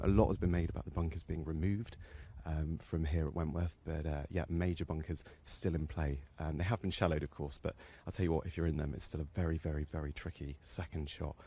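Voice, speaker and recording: A man reporting live from a golf course, talking quietly and walking along with the players as he commentates. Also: very deep voice, fairly even intonation, a little fast, few pauses, husky.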